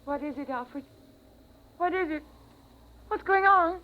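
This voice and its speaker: A woman's voice: very high voice, unhurried. A woman playing an anxious, fretful mother in 1950s radio drama style, on an old recording. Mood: panicky. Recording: noisy, dull vintage radio recording.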